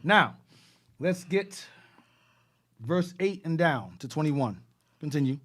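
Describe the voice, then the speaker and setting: A man's voice: fairly high pitch, big pitch swings, slow, fairly bright tone. A man teaching a bible class, explaining between readings and prompting the reader to go on.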